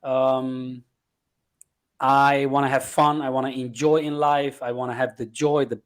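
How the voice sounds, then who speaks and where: A man's voice: mid-range voice, flat delivery, measured pace, clear, resonant tone. A man from Slovenia with a Slovenian accent, answering interview questions conversationally as a guest, in short listed fragments of non-native English. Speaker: podcast guest, life coach.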